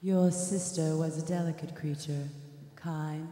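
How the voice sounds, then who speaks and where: A young woman playing an unearthly, commanding spirit in a radio play, speaking in a measured, solemn way. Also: low voice, steady tone, warm tone. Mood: grave, insistent. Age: young adult. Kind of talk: staged radio play reading. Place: on stage in a large theatre. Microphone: handheld-type mic on stand. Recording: echoey theatre PA, long reverb.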